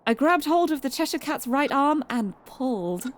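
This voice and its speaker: A woman's voice: sits high, expressive, normal speed. A woman streamer reading visual novel narration aloud, expressively and dramatically, with casual asides to viewers. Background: music.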